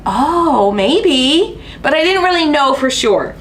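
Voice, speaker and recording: A woman's voice: high voice, slow pace, a little hiss or hum. A woman hosting a casual craft stream, sounding stuffy and nasal from allergies, chatty with lots of fillers. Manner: chatty storyteller, lots of fillers and excited asides. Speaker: host of a craft channel. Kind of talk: casual chat on a live stream.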